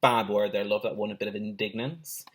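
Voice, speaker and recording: A man's voice: lowish voice, steady tone, brisk. A man, a teacher, reading a children's story aloud expressively with brief asides.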